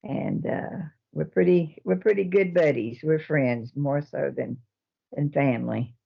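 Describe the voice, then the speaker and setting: Older lady, real gravelly voice, talks slow with lots of uhs, dull lo-fi sound. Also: low voice. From Texas. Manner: slow and halting, with many pauses and fillers. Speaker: nursing home resident and resident council president. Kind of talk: casual interview answers. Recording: dull lo-fi recording.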